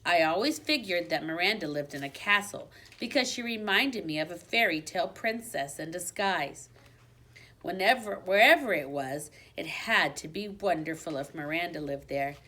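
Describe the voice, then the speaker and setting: A woman reading a children's book aloud like a teacher, with expressive storybook narration and dramatic emphasis. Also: fairly low pitch. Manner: dramatic emphasis on exciting moments.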